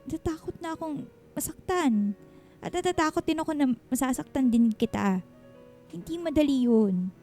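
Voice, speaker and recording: An anxious single mother in a Filipino-accented radio drama. Her voice is high and mellow, guarded and hesitant, pleading with feeling. Music plays underneath. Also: expressive, normal speed. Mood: fearful, pleading.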